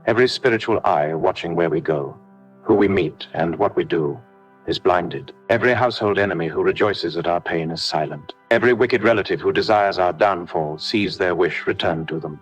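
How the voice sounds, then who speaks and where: Male prayer leader, low-pitched, slightly husky, solemn repeated declarations, soft music underneath. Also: a little fast, highs cut off. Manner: solemn, emphatic, repetitive declarations. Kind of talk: scripted spiritual warfare prayer, declarative. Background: faint background music.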